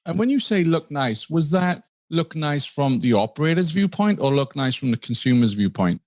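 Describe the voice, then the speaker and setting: A man's voice: fairly high pitch, big pitch swings, moderate pace, darkish tone, no echo. A man hosting an interview, asking friendly questions with light jokes. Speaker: podcast host. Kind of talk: interviewing guests.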